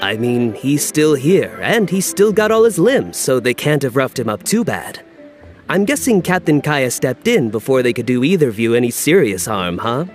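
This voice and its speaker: A man's voice: medium pitch, sing-song, moderate pace, faint music behind, close to an everyday voice. An actor playing an easygoing, wry male caravan guard in a video game. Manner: wry, sociable.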